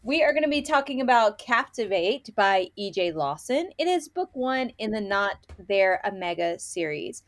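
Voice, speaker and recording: A woman's voice: medium pitch, sing-song, moderate pace, hardly any pauses, soft background music. A woman co-hosting a podcast, chatting casually about books in a playful, informal, gushing way. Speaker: podcast co-host. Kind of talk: casual book review chat.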